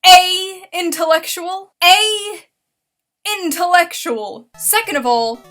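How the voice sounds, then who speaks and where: A woman with a high, forceful, bright voice delivers casual online commentary. She is sarcastic and mocking, with lively swings in pitch and faint music behind her. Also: takes it slowly. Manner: mocking, expressive, swears freely.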